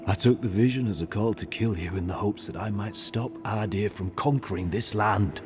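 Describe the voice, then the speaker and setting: A very low-pitched male assassin from a video game, played by an actor, devout and repentant. He speaks like a grave orator, earnest and formal, with music clearly playing behind him. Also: normal speed, natural voice. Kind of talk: dramatic video game dialogue.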